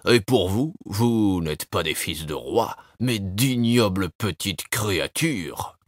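A man reading a storybook and voicing a big, clumsy, nosy male bear in a slow, gruff way. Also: deep voice, expressive, no room sound, clean studio sound, exaggerated voice. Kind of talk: storybook voice acting.

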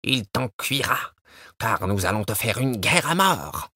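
A man's voice: fairly low pitch, big pitch swings, measured pace, sharp tone, breathy edge, dry recording, clean studio sound, full character voice. A man reading a storybook and voicing a proud little wren king, stern and defiant. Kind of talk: storybook voice acting.

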